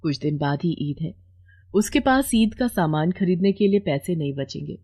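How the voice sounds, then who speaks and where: A woman's voice: fairly low pitch, expressive, moderate pace, warm tone. A woman narrating a scripted moral story as storyteller.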